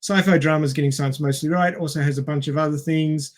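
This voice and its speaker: Higher-voiced man, halting podcast co-host. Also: steady tone. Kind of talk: casual podcast chat.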